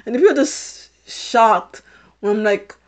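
A woman's voice: mid-range voice, expressive, normal speed. A middle-aged woman from Haiti with a British-sounding accent, rambling through a casual solo podcast, repeating herself and laughing often. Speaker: podcast host.

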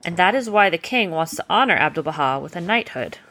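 A woman voicing a gentle, patient uncle who explains things in a bedtime story. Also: lowish voice, lively intonation, a little fast. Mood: gentle pride. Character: gentle, patient uncle, a humble carriage driver.

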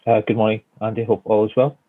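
A man's voice: lowish voice, little pitch movement, quick pace, no room sound. A man in his forties from Scotland with a Glaswegian accent, answering interview questions casually with many fillers and restarts from his home office. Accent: Glaswegian Scottish. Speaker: guest architect. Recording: tinny phone-like line, dry.

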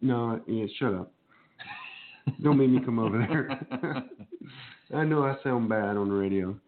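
A man's voice: mid-range voice, unhurried. A man hosting a casual radio chat, nasal from a cold, joking and laughing often. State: getting over a cold, nasal. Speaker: host. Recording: phone-like narrow band.

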